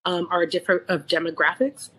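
Candid, lower-pitched woman. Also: steady tone, a little fast, sharp tone, poor audio. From San Francisco, United States. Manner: candid, emphatic, repeats words for emphasis. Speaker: podcast co-host. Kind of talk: casual conversation with some Spanish mixed in.

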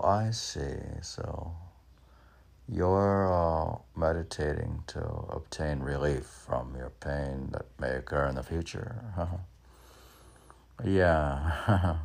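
A man in his sixties rambling alone between lines of his own poetry, halting, questioning himself and repeating phrases. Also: low voice, vocal fry, darkish tone. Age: 60s. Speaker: poet reading own work and commenting. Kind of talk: rambling solo monologue mixed with poem reading.

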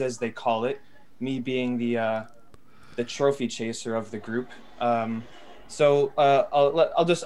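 A man with an ordinary middle pitch chats on a group call. He jokes with a straight face, playing his bits mock-seriously for his friends. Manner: jokey, deadpan mock-serious. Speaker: podcast co-host. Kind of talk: casual group chat. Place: home, far from family.

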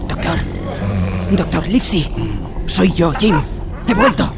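A man's voice: high voice, slow, gravelly, heavy background noise, exaggerated voice. A male performer playing a brave, earnest young cabin boy in a radio drama, with a defiant way of talking. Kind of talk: Spanish radio drama acting.

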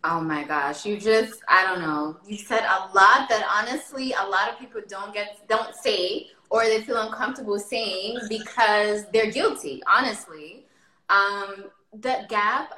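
A woman interviewer chatting in an informal, expressive way. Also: medium pitch, unhurried. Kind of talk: casual chat. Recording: roomy, echoey sound.

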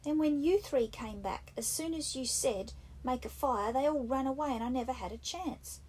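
A woman voicing an anxious, fussy schoolboy who scolds the others indignantly, in husky, rough-grammared speech. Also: sits high, normal speed, darkish tone, pitched above the performer's own voice, rough recording. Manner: indignant, breathless, nagging, with a rough country-boy grammar. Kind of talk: audiobook character reading.

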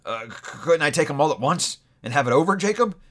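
A man's voice: expressive, normal speed, fairly bright tone. A man voicing a frightened old male miser who stammers and pleads in a raised voice in a storybook reading. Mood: pleading. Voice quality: stammering, higher than the narration.

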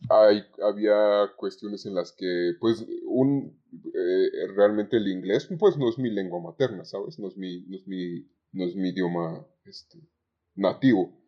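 Deep-voiced young Mexican man. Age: young adult. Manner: hesitant, halting phrasing with repeated words. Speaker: podcast guest, call center agent. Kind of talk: conversational interview, recounting work anecdotes. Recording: dull, lo-fi sound.

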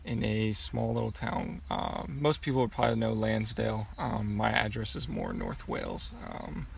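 A man's voice: on the low side, sing-song, moderate pace, creaky, a little hiss or hum. A young man from Pennsylvania, answering interview questions in a loose, rambling way with many ums and restarts. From Pennsylvania, USA. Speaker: guest.

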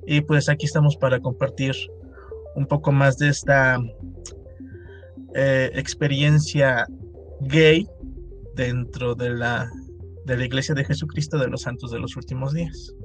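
A man in his thirties from Mexico with a Mexican Spanish accent, a guest on a podcast, speaking in a measured way. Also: medium pitch, fairly even intonation, faint music behind. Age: 30s. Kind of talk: casual podcast conversation.

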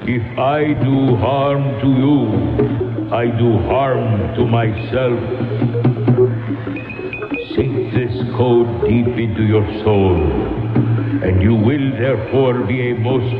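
Man playing a very slow, echoing spirit lord. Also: medium pitch, lightly acted. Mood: solemn, commanding. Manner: slow, formal, incantatory. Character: solemn, menacing male desert spirit lord speaking in riddles. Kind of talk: radio drama acting. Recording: echoing reverb, loud music, narrow radio sound.

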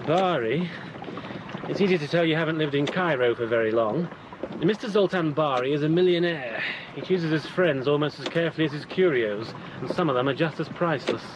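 A man's voice: a little high, expressive, fairly quick, noisy background, lightly acted. An actor playing a wary, matter-of-fact boatman in a radio drama. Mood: guarded. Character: wary Cairo boatman, adult man.

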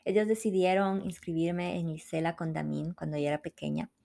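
A middle-aged woman tells her own story in a measured, unhurried way, speaking. Her voice sits a little low for a woman. She reads the script calmly as the host of her own podcast. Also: gravelly. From Ecuador. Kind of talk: scripted personal narration.

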